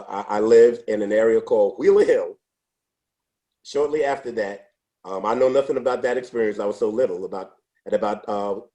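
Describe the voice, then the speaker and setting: Deep, dark-voiced man in his sixties. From United States. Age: 60s. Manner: unhurried, with frequent restarts and fillers. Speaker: interview guest. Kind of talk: reflective storytelling. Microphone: podcast microphone.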